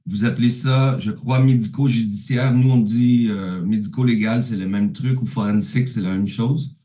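A man with a Québécois French accent presents his research from home over a video call. He speaks in a mellow voice, stopping often with fillers and restarts. The sound is roomy and echoey. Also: medium pitch, fairly even intonation. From Quebec, Canada. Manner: halting, many fillers and restarts. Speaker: neuropsychologist giving a remote talk. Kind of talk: conference presentation. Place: at home, alone.

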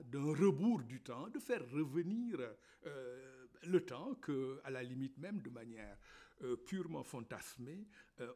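Lively, halting man speaking. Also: mid-range voice. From Senegal. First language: Wolof. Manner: halting, many fillers and repeated words. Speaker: novelist and university lecturer. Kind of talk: reflective panel talk.

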